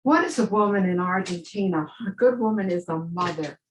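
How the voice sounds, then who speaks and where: A woman performing a dramatic stage monologue as a proud, vengeful dead first lady, measured and defiant. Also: fairly low pitch, natural voice, poor audio. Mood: bitter, defiant. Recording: faint background noise with clicks.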